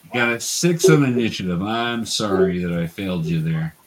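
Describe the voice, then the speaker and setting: A man's voice: sits low, expressive, normal speed, a little hiss or hum. A man running a tabletop roleplaying game as game master, explaining the steps patiently and casually to players.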